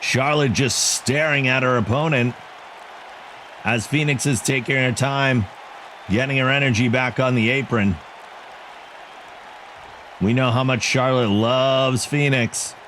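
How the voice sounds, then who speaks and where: A man's voice: medium pitch, unhurried, pauses often. Man calling wrestling over game crowd noise. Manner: excitable, self-joking asides between calls. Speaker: play-by-play commentator for a video game league. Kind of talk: wrestling play-by-play commentary. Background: video game arena crowd.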